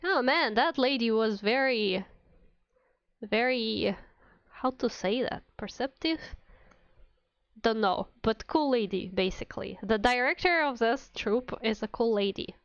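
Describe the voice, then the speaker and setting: A woman's voice: on the high side, expressive, unhurried, clean tone, dry recording, highs cut off. A woman streamer chatting casually with her viewers while playing a game, sounding a little under the weather. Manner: chatty, addresses viewers by name. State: sick with a cold. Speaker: VTuber streamer.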